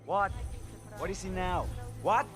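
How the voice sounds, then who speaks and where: A man's voice: high-pitched, measured pace, music in the background. A man playing a pompous, irritable middle-aged winemaker in a video game. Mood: irritated. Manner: pompous, exacting. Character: fussy, irritable middle-aged male South American winemaker. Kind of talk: video game character acting.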